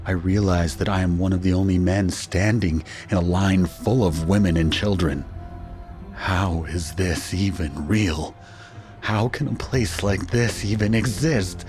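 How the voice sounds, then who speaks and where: Anguished husband narrator, audio drama, deep voice, grave, dramatic, music behind. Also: male voice, a little mellow. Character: anguished husband and father narrating his ordeal. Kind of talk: scripted first-person audio drama narration.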